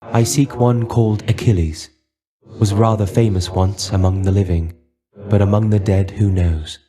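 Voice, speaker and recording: An actor playing a melancholy, guarded shade of a fallen Greek warrior in a video game, speaking with dry resignation and formal, old-fashioned phrasing. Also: male voice, on the low side, steady tone, normal speed, lightly acted. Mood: wistful, sad. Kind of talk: dramatic video game character voice acting.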